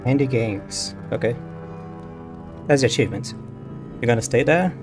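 A man's voice: mid-range voice, moderate pace. A man doing casual live gameplay commentary, muttering brief reactions to himself. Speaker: game streamer. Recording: game music behind voice.

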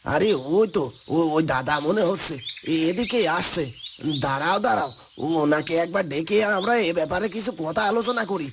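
Creaky high voiced cartoon villager, stammery, fussy, insistent, noisy audio. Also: male voice, expressive, normal speed. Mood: eager. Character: fussy, advising village neighbour man.

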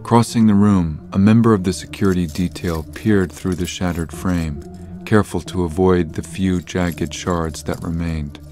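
A man narrating a scripted horror story in a measured, literary manner. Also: deep voice, fairly even intonation, warm tone, soft background music.

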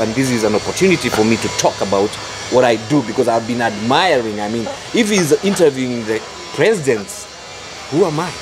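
A man's voice: mid-range voice, poor audio. A middle-aged man from Kenya with a Kenyan English accent, interviewed about his business, speaking earnestly with hesitant restarts, on a lapel mic. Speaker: interviewee, salon owner and manufacturer. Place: factory and salon, indoors.

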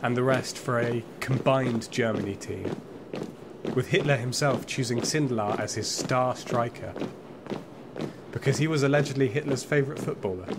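A man's voice: mid-range voice, natural voice. An actor playing a nostalgic, proud and wry Austrian football fan, telling his story theatrically in an audio play. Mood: wry, defiant. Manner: theatrical, emphatic, with weighty pauses. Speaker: narrator. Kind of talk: dramatic first-person storytelling in an audio play. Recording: music and crowd noise behind.

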